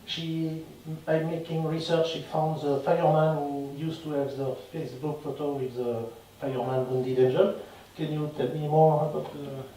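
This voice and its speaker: A man's voice: a little high, little pitch movement, dark tone, far from the mic. French-accented man in an echoey cinema hall. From France. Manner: halting, searching for words in English. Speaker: film director at a Q&A. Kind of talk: festival Q&A after a screening.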